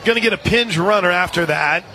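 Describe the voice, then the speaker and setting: A man doing live radio play-by-play of softball, excitable, in short punchy calls from an outdoor stadium. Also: high voice, a little fast, low-quality recording. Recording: live outdoor stadium broadcast, crowd noise. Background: loud crowd and ballpark ambience.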